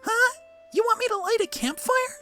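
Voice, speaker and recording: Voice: very high-pitched, moderate pace, sharp tone, soft background music, pitched above the performer's own voice. A performer voicing a small, eager, boastful young fire lizard creature from a video game, with a chirpy, cocky manner.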